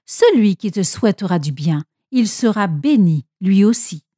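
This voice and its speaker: An old patriarch, acted out by a woman in a storybook tale, speaking with solemn weight. The delivery is expressive and swings in pitch, with a soft-edged tone in a very dry recording. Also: normal speed, dry recording, lightly acted. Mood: solemn blessing. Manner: solemn, declamatory. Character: old father Isaac, solemn patriarch, later horrified.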